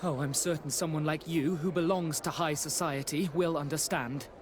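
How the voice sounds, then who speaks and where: High-voiced foppish young aristocrat played by a man. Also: steady tone, normal speed, natural voice. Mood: haughty, breezy. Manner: affected, flowery politeness. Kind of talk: video game cutscene acting. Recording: clear outdoor ambience noise.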